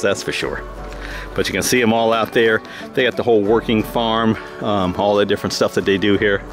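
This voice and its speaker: A man chatting casually as a travel vlogger behind the camera, with a jokey, teasing manner. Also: lowish voice. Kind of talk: casual vlog chat. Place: outdoors at a winery patio and park trail. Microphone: handheld camera mic.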